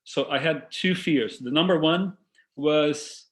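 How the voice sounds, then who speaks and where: A man from Brazil whose first language is Portuguese, speaking English with a Brazilian Portuguese accent, giving a lively, engaging talk to a group on a video call. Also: fairly high pitch, slow. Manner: lively and engaging, addresses listeners as folks. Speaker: guest speaker.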